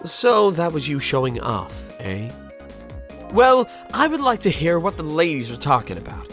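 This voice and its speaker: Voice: mid-range voice, wildly swooping pitch, moderate pace, a bit bright, faint music behind. An actor playing a curious, polite amnesiac spirit detective, musing in a game fandub. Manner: reflective, polite, talking to themself. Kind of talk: game fandub narration and dialogue.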